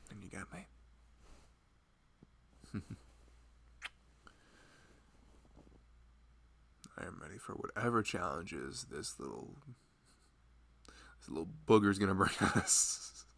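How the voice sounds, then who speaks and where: Give this one a very low male voice with a gravelly fry , a man acting the part. He is a devoted but nervous young husband in an intimate ASMR roleplay. He rambles softly, like someone talking privately to his partner. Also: animated, measured pace, pauses often, exaggerated voice. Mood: tender, hopeful. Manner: rambling, soft-spoken, chuckling, often drifting toward a murmur.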